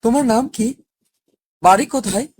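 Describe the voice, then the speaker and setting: A woman voicing a smitten, commanding young prince in a cartoon story, with a husky voice. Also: fairly high pitch, fairly quick, a little mellow.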